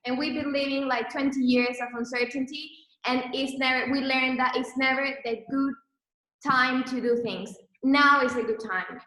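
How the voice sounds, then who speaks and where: A young woman from Venezuela, a Spanish speaker, gives a talk in halting English with a Venezuelan accent. Her voice sits fairly high and sounds bright and crisp. The room around her is echoey. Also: steady tone. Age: 20s. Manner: halting, many restarts and fillers. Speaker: guest speaker, brand co-founder. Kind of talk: online presentation.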